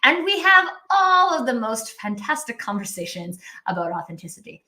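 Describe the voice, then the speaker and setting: A woman's voice: a little high, big pitch swings, moderate pace, bright, forceful tone. A woman hosting an interview podcast, introducing her guest and asking her a question. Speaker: podcast host.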